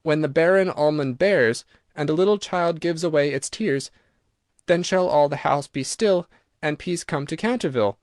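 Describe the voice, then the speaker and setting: A man's voice: on the high side, normal speed, dry recording, clean studio sound, natural voice. A man reading aloud and voicing an earnest, prim young girl in a storybook. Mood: eager. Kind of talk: audiobook reading.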